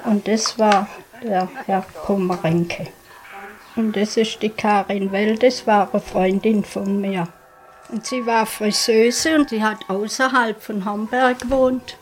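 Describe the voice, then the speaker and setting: A woman's voice: medium pitch, fairly even intonation, slow pace, soft background music, poor audio. A woman casually recalling her memories as an interviewee. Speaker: eyewitness interviewee.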